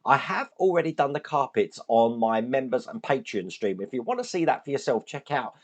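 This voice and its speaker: A man hosting a model-building channel, his voice hoarse and deeper than usual from shouting, chatting casually and thinking aloud as he works with his hands. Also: big pitch swings, fairly quick. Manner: chatty, self-deprecating, thinks aloud while working.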